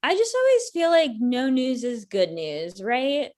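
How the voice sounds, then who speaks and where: A woman's voice: high voice, huge pitch swings, unhurried, a little mellow, heavily acted. A woman acting a blunt, sarcastic ex-girlfriend in her thirties in a conversational comedy script reading. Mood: casual, needling.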